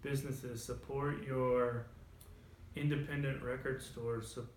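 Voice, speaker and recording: A man's voice: medium pitch, flat delivery, slow, roomy sound, a little hiss or hum. An enthusiastic man co-hosting a casual chat in a record store, talking with bravado and often over his partner. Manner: enthusiastic, talks over others. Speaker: co-host.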